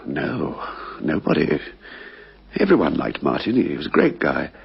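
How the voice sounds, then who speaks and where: An actor playing a nervy, evasive murder suspect in a radio crime drama, hesitant and defensive. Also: male voice, rumbling low, wildly swooping pitch, a little slow, vocal fry, slightly rough, full character voice. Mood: evasive, nervous. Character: evasive, nervy male friend of murdered gambler, under questioning. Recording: dull vintage radio recording.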